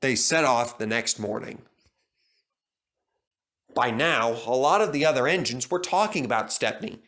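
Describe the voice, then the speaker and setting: A man's voice: medium pitch, lively intonation, measured pace, a bit bright. A man narrating a scripted children's story as storyteller.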